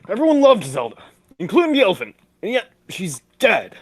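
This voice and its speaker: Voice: mid-range voice, wildly swooping pitch, fairly quick, slightly rough. An actor playing a pompous, florid old ham in a 1940s-style radio comedy. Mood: pointed, grand. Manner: florid, exclamatory, old-fashioned phrasing. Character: pompous, theatrical comic old ham actor Vitamin Flintheart.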